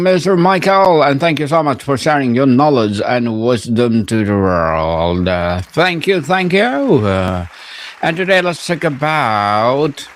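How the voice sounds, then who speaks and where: Slow, formal male podcast host. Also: mid-range voice, no echo, studio quality. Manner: formal, effusive, addresses guest as Mister. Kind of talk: podcast interview hosting.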